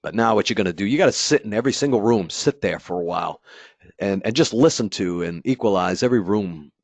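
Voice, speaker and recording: A man's voice: lowish voice, expressive, fast, creaky, no echo. A man giving a casual dealer training webinar, talking loosely with many fillers and restarts and sometimes acting out an installer's thoughts. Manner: acts out an installer's inner thoughts. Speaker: company product trainer. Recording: dry, slightly dull webinar audio.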